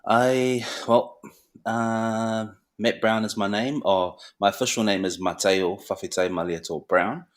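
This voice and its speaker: A man's voice: on the low side, steady tone, unhurried. A man, a barber talking casually and humbly as a podcast guest, with frequent ums. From New Zealand. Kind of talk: casual interview chat.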